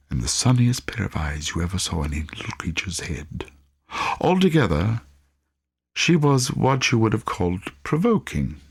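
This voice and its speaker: A man reading a literary story as an audiobook, with measured, theatrical phrasing. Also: deep voice, gravelly, husky. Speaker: audiobook reader.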